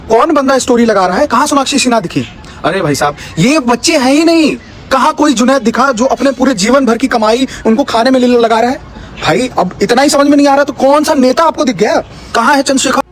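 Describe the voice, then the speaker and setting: A young man delivering a sarcastic political rant full of mocking rhetorical questions. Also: very high voice. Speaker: political commentator.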